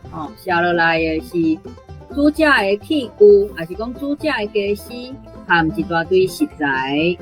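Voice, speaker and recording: A woman's voice: fairly low pitch, sing-song, a little slow, faint music behind. Taiwanese woman teacher giving feedback. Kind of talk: explanatory teaching with casual commentary.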